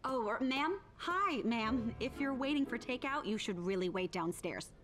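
An actress playing an upbeat, eccentric scientist and ghost hunter in an animated game, with a deadpan, quirky manner. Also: female voice, fairly high pitch, normal speed, music in the background, lightly acted. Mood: matter-of-fact. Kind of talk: animated game cutscene acting.

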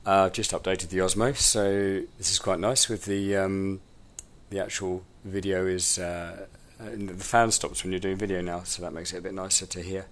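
A man with a cold, sounding snuffly, giving a relaxed, rambling step-by-step tutorial at a table in a room at home. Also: deep voice, normal speed. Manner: informal, rambling, many ums. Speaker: drone instructor. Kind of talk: hands-on tutorial walkthrough.

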